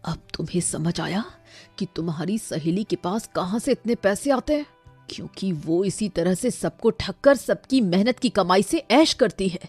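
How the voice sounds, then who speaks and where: A woman's voice: medium pitch, animated, normal speed, darkish tone, slightly rough, faint music behind. A woman voicing an exasperated working husband who scolds and lectures in a storybook tale. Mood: reproachful. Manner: reproachful, lecturing.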